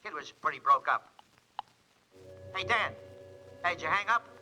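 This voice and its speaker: An actor playing a chatty informant passing on gossip by telephone in a 1940s radio drama. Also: male voice, on the high side, expressive, a little slow, pushed and bright, soft background music, exaggerated voice, poor audio.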